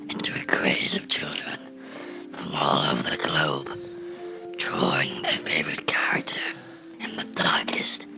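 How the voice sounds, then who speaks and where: A man voicing a bitter, menacing cartoon character in a slow, brooding horror ASMR monologue, whispering in a breathy, gravelly voice. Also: extremely low pitch, exaggerated voice, rough recording. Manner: slow, deliberate, brooding storytelling with dramatic pauses. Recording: whispered with music underneath.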